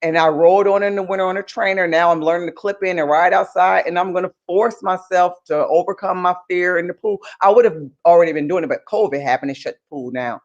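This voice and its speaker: A woman's voice: lowish voice, fast talker, few pauses. Determined woman runner on a muffled call. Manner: earnest and determined, repeats herself for emphasis. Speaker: marathon runner guest. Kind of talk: casual interview talk.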